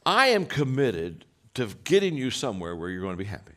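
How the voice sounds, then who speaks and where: A man with a deep voice giving a motivational talk, telling stories with many restarts and acted-out quotes. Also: huge pitch swings, a little fast, fairly bright tone. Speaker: leadership speaker.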